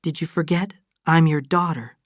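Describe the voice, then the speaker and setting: A woman voicing a restrained daughter with a scheming streak in an audiobook, speaking in a controlled, deliberate way. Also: low-pitched, steady tone, dry recording, studio quality, pitched above the performer's own voice. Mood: cold, taunting. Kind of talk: dramatised audiobook dialogue.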